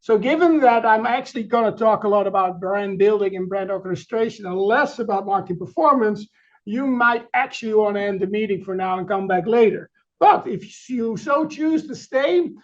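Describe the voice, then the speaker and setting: A middle-aged man from the Netherlands with a Dutch accent, giving a webinar presentation in a halting way, full of fillers and restarts. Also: sits high, lo-fi sound. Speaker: chief marketing officer presenting.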